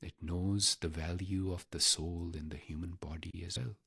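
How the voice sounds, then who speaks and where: A man guiding a meditation in a measured, reverent manner, pausing deliberately between short phrases. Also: low-pitched, steady tone, gravelly, a bit bright, breathy edge, dry recording, clean studio sound. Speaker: meditation guide. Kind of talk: guided meditation narration.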